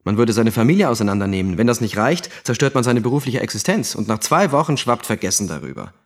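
An actor playing an earnest, critical radio trainee, reflective and sardonic in an audio drama monologue. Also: male voice, on the low side, very animated, quick pace. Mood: bitter, reflective. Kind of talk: narrated monologue in a German audio play.

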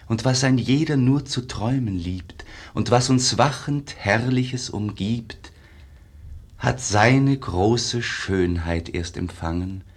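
Slow, low-voiced, reverent disciple. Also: male voice, breathy edge, exaggerated voice. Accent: standard stage German. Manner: solemn, lyrical, measured. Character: reverent, dreamy disciple of a great painter. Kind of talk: 1950s German radio verse drama, solemn declamation. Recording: slightly muffled 1950s radio recording.

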